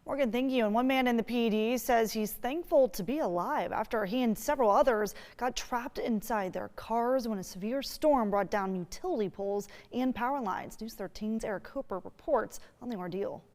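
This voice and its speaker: A woman anchoring a local evening newscast with bright, upbeat scripted delivery. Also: a little high, moderate pace, hardly any pauses, in a large hall. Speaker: news anchor.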